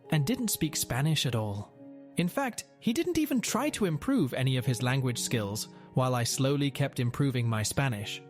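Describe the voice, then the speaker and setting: A man narrating a first-person workplace story in a steady, even storytelling read. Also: mid-range voice. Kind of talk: scripted narration of a reddit story. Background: faint music.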